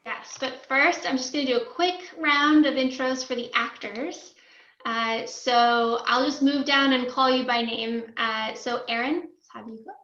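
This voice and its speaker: Female event host, higher register, casual introductions, muffled audio with strong room echo. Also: fairly even intonation, measured pace, sharp tone. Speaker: host of a screenplay table read.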